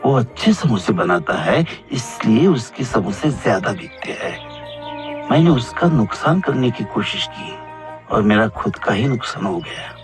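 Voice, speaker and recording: A man playing an earnest, reflective rival shopkeeper in a cartoon moral story. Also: lowish voice. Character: rival male shopkeeper, grumbling then remorseful. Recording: clear background music under the voice.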